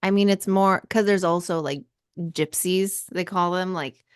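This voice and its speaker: A low-voiced woman co-hosting a casual podcast. She talks in loose, rambling runs, often pausing on fillers and restarting a thought, and laughs easily along the way. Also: steady tone, clear, resonant tone, dry recording, studio quality. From Spanish family, mother from southern Spain. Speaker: podcast co-host. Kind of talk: casual chat.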